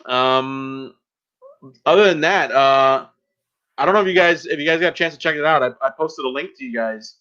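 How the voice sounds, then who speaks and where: A man co-hosting a podcast in casual group chat, speaking haltingly as he thinks aloud. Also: on the high side, pushed and bright, clean tone. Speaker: podcast co-host. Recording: dull, lo-fi voice chat audio.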